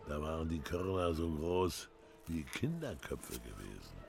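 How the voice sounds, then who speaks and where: An actor playing an old villager who tells of dark omens in a rambling, fervent way, in a radio drama. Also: male voice, rumbling low, sing-song, measured pace, creaky, heavily acted. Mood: ominous, rambling. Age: older. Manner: rambling, ominous, fervent storytelling. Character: old doom-saying North Frisian villager telling omens. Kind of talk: German radio drama acting. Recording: music behind the voice.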